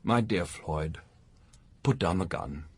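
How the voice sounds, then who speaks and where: A man's voice: deep voice, animated, slow pace, slightly rough, exaggerated voice. An actor playing a suave, world-weary male entertainer in a radio drama, with arch, dry, theatrical phrasing. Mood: calmly persuasive.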